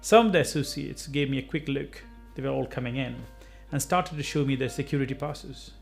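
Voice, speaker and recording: A man's voice: medium pitch, a little fast, gravelly, faint music behind. A man speaking in a composed, sincere way in a corporate testimonial.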